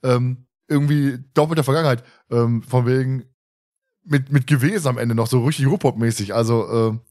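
A man's voice: mid-range voice, fairly quick, darkish tone. Casual German-podcast man in his thirties. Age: 30s. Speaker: podcast host.

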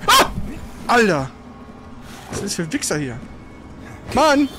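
A man's voice: sits high, huge pitch swings, a little slow, sharp tone. A man doing casual live gameplay commentary with a co-player, exclaiming, grumbling and swearing as he goes. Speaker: gaming streamer playing and commenting.

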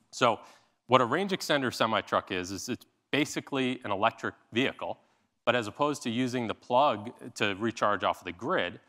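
An American man with a slightly low voice speaks as a company leader giving a keynote. He explains things step by step in a conversational way. He often sets up his points with rhetorical questions. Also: sharp tone. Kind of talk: keynote presentation. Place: large indoor stage at a summit. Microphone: clip-on lapel mic.

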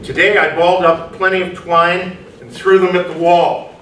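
Older guy acting troubled pious loner, grandiose stage delivery, bright forceful voice, roomy far-off recording. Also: high-pitched, level tone, moderate pace, a little hiss or hum. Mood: sorrowful. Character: troubled, pious, reclusive suspect, brooding and grandiose. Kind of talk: staged play reading, theatrical. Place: small indoor venue, before a seated audience. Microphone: far camera.

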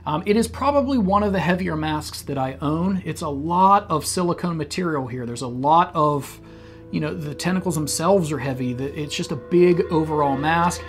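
A man's voice: fairly high pitch, animated, normal speed, faint music behind. Upbeat man reviewing at a desk. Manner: upbeat, chatty, explains practical details. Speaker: channel host reviewing masks. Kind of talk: casual review talk. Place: small dim studio set, indoors.

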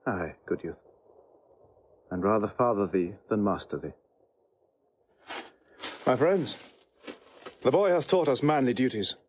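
An actor playing a noble Roman general, commanding yet fatherly, in a Shakespearean audio drama, with measured, authoritative verse delivery. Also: on the low side, animated, darkish tone, a little hiss or hum. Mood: fatherly, solemn.

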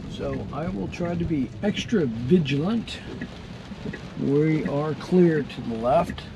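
A man's voice: fairly high pitch, slow. Older man narrating his drive in heavy rain. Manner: relaxed, matter-of-fact, wry. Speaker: channel host testing a self-driving car. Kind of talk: casual running commentary while driving. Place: inside a car driving in heavy rain. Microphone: mounted camera on the car ceiling. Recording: loud rain and road noise. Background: rain drumming on the car.

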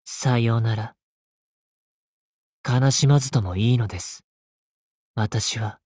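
男性声優がゲームで演じる、悲哀に満ちて丁寧な物言いの、冷徹で詩的な騎士の弓使い。. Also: male voice, fairly low pitch, husky, no echo, studio quality. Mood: gentle, melancholy. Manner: slow, formal, poetic delivery. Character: sorrowful, courtly male knight archer, poetic and coolly merciless. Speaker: voice actor in a mobile game. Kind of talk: theatrical game battle lines. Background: game music.

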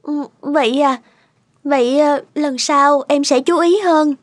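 A woman's voice: sits high, slow pace, no room sound, studio quality. A woman playing a meek, eager-to-please young newlywed in a cartoon, speaking politely and hesitantly. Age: young adult. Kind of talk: cartoon dialogue. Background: background music.

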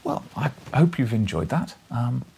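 A British man in his thirties, an audiobook reader with an expressive, informal delivery. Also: mid-range voice, a little slow, gravelly, slight background noise. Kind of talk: expressive story narration and casual address. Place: small book-lined living room, indoors.

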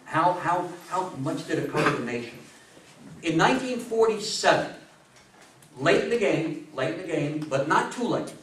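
A man's voice: fairly high pitch, normal speed. Older American man lecturing, distant and roomy. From United States. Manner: animated, conversational, repeats phrases for emphasis. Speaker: public speaker on radio history. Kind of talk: informal live lecture to an audience. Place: small meeting room, indoors. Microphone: far camera microphone. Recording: roomy, noisy, distant camera microphone.

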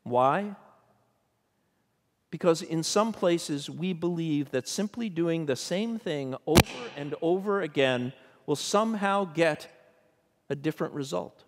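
An older man, a bishop, preaching a sermon from a pulpit in a church sanctuary, measured and questioning in tone. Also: fairly high pitch. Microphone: pulpit microphone. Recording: long church reverb.

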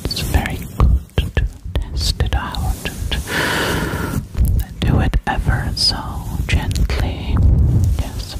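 Woman whispering asmr right up on the mic, super slow n breathy, gently repeats stuff. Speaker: ASMR performer. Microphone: Blue Yeti without cap, very close.

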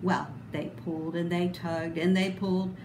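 A middle-aged woman reading a children's picture book aloud expressively, with deliberate, dramatic emphasis. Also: lowish voice, little pitch movement. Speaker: storybook reader. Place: indoor room, voice a little echoey. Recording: roomy echo, clear background noise.

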